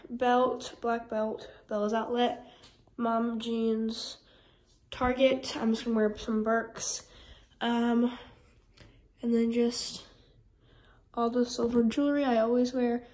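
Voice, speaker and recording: A teenage girl talks into a phone held at arm's length in her bedroom, chatty and rambling with plenty of "like" and "um." Her voice sits a little high, and she has a head cold. The room gives an echoey sound. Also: a little mellow. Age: teens. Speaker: vlogger. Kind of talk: casual vlog chat to camera. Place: bedroom at home, indoors. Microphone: phone camera at arm's length.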